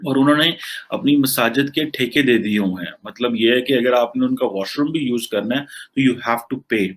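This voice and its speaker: A man's voice: mid-range voice, normal speed. A man with a Pakistani accent talks through current affairs in an unscripted, explanatory way, mixing in English phrases. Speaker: political commentator on a talk show. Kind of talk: unscripted news discussion.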